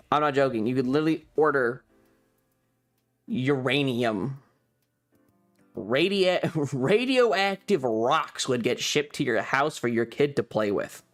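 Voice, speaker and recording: Male streamer, mid-pitched and lively in intonation, sweary, amused, rambling casual chat over background music. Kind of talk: casual livestream chat recounting a trip.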